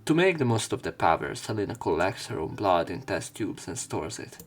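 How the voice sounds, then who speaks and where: A man's voice: deep voice, sing-song, moderate pace. A man hosting a let's play, reading game text aloud and adding casual, sweary asides.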